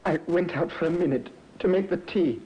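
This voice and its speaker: An actor playing a theatrically devoted young husband in an old radio drama, melodramatic and pleading. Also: male voice, high voice, moderate pace, mellow, lightly acted. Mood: distraught, pleading. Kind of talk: 1950s radio drama acting. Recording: muffled old radio recording.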